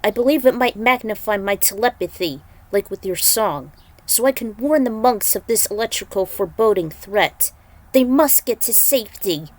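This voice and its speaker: A woman's voice: medium pitch, animated, moderate pace, natural voice. An earnest blind swordsman acted by a woman, heroic and commanding. The voice is lifted and urgent, in the manner of a dramatic fantasy storybook reading.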